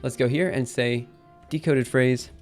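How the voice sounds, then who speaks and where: A man live-streaming his coding session, thinking aloud in an upbeat, chatty way while typing, his voice a little raspy. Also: mid-range voice, steady tone, measured pace, darkish tone, soft background music. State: slightly raspy after a drink. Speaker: programming livestreamer. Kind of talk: live coding stream, casual talk-through.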